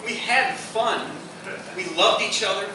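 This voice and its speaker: A heavily reverberant live recording with clear noise. An actor plays a stubborn, wounded romantic in stage radio drama style, heard at a distance from the microphone. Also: mid-range voice, steady tone, unhurried, close to an everyday voice. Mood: defensive. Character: heartbroken, stubborn romantic clinging to a soulmate.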